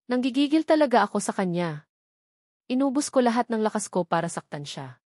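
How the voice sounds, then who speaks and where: A woman's voice: a little high, big pitch swings, a little fast, darkish tone, no room sound, studio quality. A woman reading a romance novel aloud as a dramatic first-person narration. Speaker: audiobook narrator.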